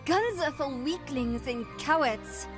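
Game role: proud young would-be knight, very high female voice, earnest and formal, over music. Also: expressive, slow pace. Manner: earnest, formal, stately phrasing. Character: proud, formal young woman soldier devoted to chivalry. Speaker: video game character. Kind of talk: English game voice acting.

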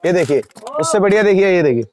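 A young man in a crowded cosmetics shop, rattling off wholesale prices per dozen and per piece in a rapid sales patter. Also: high voice, animated, a crowd in the background. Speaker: wholesale shopkeeper. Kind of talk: sales pitch to camera.

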